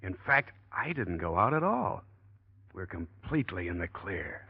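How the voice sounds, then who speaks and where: A man's voice: low-pitched, big pitch swings, unhurried, full character voice. A man playing a slick, scheming killer who feigns innocence in a 1940s radio drama, glib and evasive. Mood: smug. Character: slick, scheming murderer feigning innocence, nervous under questioning. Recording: dull, muffled old radio recording.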